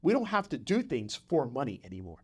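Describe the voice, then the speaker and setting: A male podcast host with a mid-pitched voice talks in a conversational, motivational way. He drives points home by repeating phrases and keeps checking in with short tags like "right?" and "okay?". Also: huge pitch swings, fairly quick, a little mellow, slightly rough.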